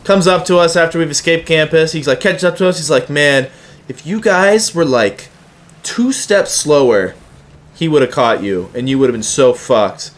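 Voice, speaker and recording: Higher-voiced young man telling casual stories. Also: normal speed. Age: young adult. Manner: slangy, profane, acts out quoted dialogue. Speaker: YouTuber telling personal stories. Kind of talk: casual anecdotal storytelling.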